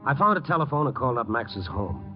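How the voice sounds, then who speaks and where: An actor playing a wry, hard-boiled but caring newspaper reporter, narrating and acting in a clipped, colloquial radio noir style. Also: male voice, medium pitch, very animated, fast, close to an everyday voice. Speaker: narrator and lead. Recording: muffled old radio recording with music.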